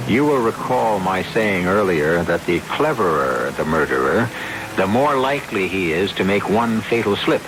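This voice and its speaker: An actor playing a suave, ominous mystery host, narrating old-time radio with deliberate, theatrical pauses. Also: male voice, deep voice, big pitch swings, background noise. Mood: ominous, knowing.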